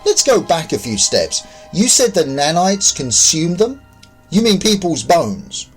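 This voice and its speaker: A man's voice: a little high, big pitch swings, unhurried, faint music behind, voice pushed up. A man voicing an agitated, disoriented man in a sci-fi audio story, questioning and accusing. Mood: probing. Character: agitated, disoriented man revived in devastated world.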